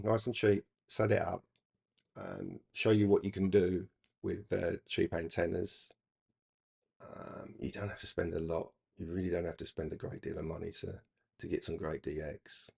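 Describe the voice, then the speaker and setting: A man's voice: sits low, steady tone, moderate pace, gravelly, a little mellow, slightly rough. A middle-aged British man talking casually and unscripted as a hobbyist video host, rambling hesitantly and repeating words as he goes. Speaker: YouTube radio hobbyist host. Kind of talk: casual unscripted vlog talk.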